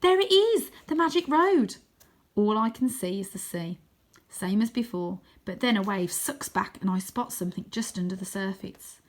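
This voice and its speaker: Woman, storybook narrator, expressive, dramatic, suspenseful, mid-pitched. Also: normal speed. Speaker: story reader.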